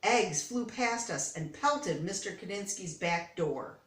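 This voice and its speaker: An older woman with an American accent reads a picture book aloud. Her voice sits at a medium pitch, expressive and conversational. The sound is echoey and a little distant from the microphone. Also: slow pace. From Detroit, United States. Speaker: storybook reader. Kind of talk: read-aloud of a children's picture book with casual asides.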